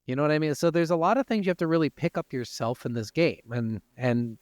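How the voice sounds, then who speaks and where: A man's voice: a little high, fast, a little mellow, no echo. A man co-hosting a podcast, talking casually and profanely about video games. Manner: informal, freely profane. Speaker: podcast co-host. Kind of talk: casual video game discussion.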